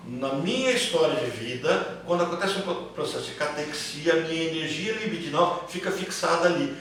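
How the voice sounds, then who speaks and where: Brazilian male teacher, fairly high voice, informal didactic lecture, repetitive phrasing, distant echoey recording. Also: animated, fairly quick, a bit bright. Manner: didactic, repeats phrases and checks understanding with tag questions. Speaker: teacher of a psychoanalysis class. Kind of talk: informal lecture with questions from students. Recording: echoey room, far mic, clear background noise.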